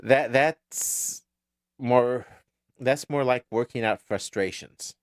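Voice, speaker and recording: A man with a mid-range voice chats casually as a podcast co-host. He starts thoughts haltingly, doubling back on words, and the sound is dry and close, with little room around it. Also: mid-range voice, dry recording. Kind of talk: casual TV episode recap chat.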